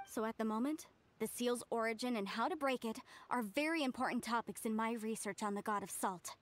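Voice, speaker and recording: An actress playing an earnest young researcher of ancient ruins in a video game, explaining things. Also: female voice, a little high, fairly quick, close to an everyday voice. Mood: earnest, serious. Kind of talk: English video game voice acting.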